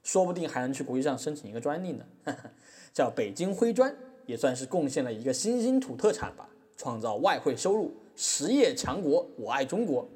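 A man's voice: a little high, big pitch swings, a little fast, darkish tone. A man playing a brash, boastful, money-minded boss giving chatty testimony in a comic courtroom drama. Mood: scheming, boastful. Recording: echoey hall reverb.

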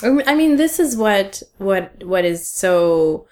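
A woman's voice: medium pitch, very animated, a little slow, darkish tone. A young woman, a graduate-trained museum scholar, talking casually as a podcast guest in an outspoken, emphatic way. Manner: outspoken and emphatic, laughs often. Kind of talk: casual conversation.

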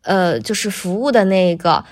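A woman with a thin, bright, lively voice, talking casually as a voice actor guest on a podcast, explaining things in an animated way. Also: lowish voice, normal speed.

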